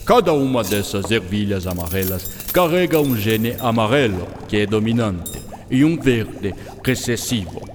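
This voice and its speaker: A man's voice: lowish voice, expressive, normal speed, hardly any pauses, slight background noise, lightly acted. Man playing German-accented eccentric scientist in reverberant hall. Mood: patient explaining. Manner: didactic, peppers speech with German words. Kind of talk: scripted radio drama dialogue. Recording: long hall reverb, music bed.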